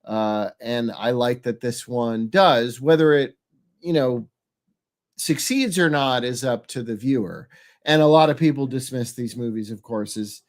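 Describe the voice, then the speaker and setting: Male host, stuffed-up nasal voice from a cold, medium pitch, halting conversational delivery. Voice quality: nasal, congested. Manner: halting, searching for words. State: head cold. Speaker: podcast host. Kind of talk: casual, rambling show introduction and chat.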